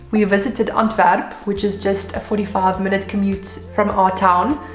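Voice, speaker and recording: A woman's voice: mid-range voice, echoey room. A woman in her twenties from South Africa with a South African accent, a native Afrikaans speaker, chatting upbeat to camera in a vlog. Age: 20s. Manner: chatty and upbeat, with frequent ums. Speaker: vlogger. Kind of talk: casual vlog talk to camera.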